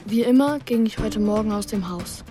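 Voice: mid-range voice, moderate pace, music in the background, lightly acted. A performer playing an anxious young boy who acts in and narrates a children's radio play, earnest and questioning. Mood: narrating, brisk.

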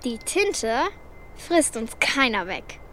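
A woman's voice: a little high, very animated, slow, fairly bright tone, slight background noise, full character voice. A woman voicing a plucky, bossy orphan girl in a children's radio play, blunt and pert in manner. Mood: pert, determined.

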